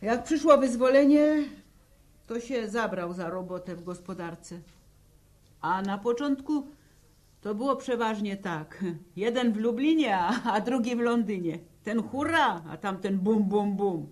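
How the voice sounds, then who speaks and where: An actress playing a weary peasant widow with a rural Polish accent, telling her story haltingly in a 1960s radio drama. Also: medium pitch, animated, darkish tone, natural voice. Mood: wistful recollection. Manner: slow, halting storytelling in plain folk speech. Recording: old 1960 radio drama recording.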